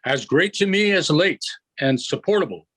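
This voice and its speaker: A man reading the part of a commanding, forgiving yet stern duke and magician in an unrehearsed Shakespeare play, in a measured, declamatory way. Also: on the high side, lively intonation, fairly bright tone, husky. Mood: measured, grave.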